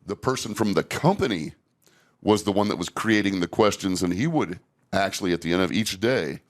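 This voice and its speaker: Low-pitched older man, candid podcast host. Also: lively intonation, brisk. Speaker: podcast host and safety trainer. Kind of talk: casual podcast conversation.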